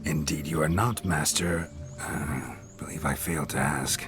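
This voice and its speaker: Deep-voiced swaggering fantasy rogue. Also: male voice, fairly even intonation, unhurried. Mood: jovial, boastful. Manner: jaunty, swaggering, old-fashioned turns of phrase. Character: roguish, boastful reveler living again in a monster hunter's body. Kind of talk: fantasy video game acting. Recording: game dialogue under loud music.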